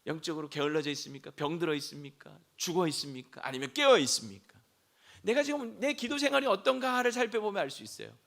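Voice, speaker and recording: A man preaching a sermon as senior pastor, hoarse from a throat cold, asking the congregation questions and rising to shouts. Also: on the high side, big pitch swings, fast talker. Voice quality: gravelly.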